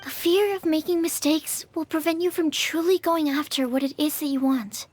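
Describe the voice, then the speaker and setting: A woman playing a gentle, earnest young alchemist's assistant in an anime game, kindly and reassuring. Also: high-pitched, steady tone, moderate pace, slight background noise, lightly acted. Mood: reflective. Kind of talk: anime game character acting. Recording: music under the voice.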